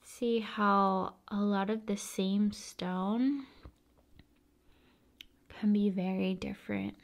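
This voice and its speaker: Slow, creaky-voiced woman doing soft ASMR. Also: medium pitch, steady tone. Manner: soft-spoken, often slipping into whispers; unhurried, pausing between short phrases. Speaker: ASMR video host. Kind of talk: ASMR, casual spoken musings.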